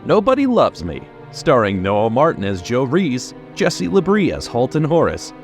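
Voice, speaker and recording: Guy announcer doing radio drama credits, medium pitch, super animated. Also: moderate pace, soft background music.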